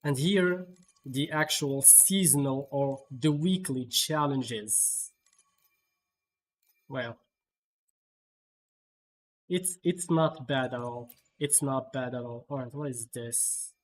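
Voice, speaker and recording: Slow, halting male game streamer. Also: medium pitch. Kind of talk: casual live gameplay commentary. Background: faint game music.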